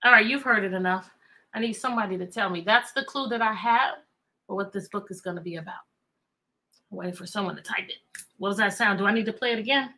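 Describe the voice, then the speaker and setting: A middle-aged American woman hosting a chatty, interactive livestream for children, animated and repeating phrases and questions to draw listeners in. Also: mid-range voice, fast. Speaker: teacher, children's read-aloud host. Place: indoor room with bookshelves.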